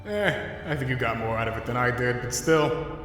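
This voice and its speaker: A man's voice: mid-range voice, animated, moderate pace, fairly bright tone, lightly acted. A man playing a skeptical, wounded young skeleton brother in a comic dub, talking in an informal, hesitant, wry way. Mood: wry, guarded. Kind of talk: dramatic fan comic dub. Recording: very echoey long reverb under music.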